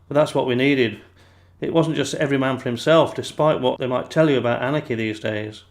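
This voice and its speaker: An actor playing a gentle, chatty elderly grandfather in an audio play, fond, reflective and a little halting. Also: medium pitch, lightly acted. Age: older.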